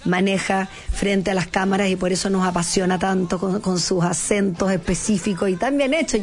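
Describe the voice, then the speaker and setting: A Chilean woman hosting a TV talk show, with a fairly neutral Chilean accent, gushing and effusive, often repeating her words. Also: medium pitch. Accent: neutral Chilean Spanish. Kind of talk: enthusiastic TV interview.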